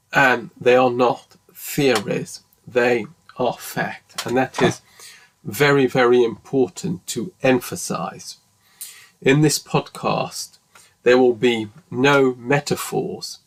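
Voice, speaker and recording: A man in his forties hosting his own podcast, talking slowly and earnestly in a solo monologue, with frequent ums and restarts. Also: mid-range voice, creaky, fairly bright tone, slight background noise, poor audio. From English-speaking, living in France. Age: 40s. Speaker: podcast host.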